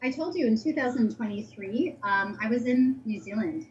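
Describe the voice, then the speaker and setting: A woman's voice: a little high, lively intonation, a little fast, a little mellow. Lo-fi female musician in interview. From Lebanon. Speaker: singer-songwriter being interviewed. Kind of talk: casual interview chat. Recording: dull lo-fi link, faint noise.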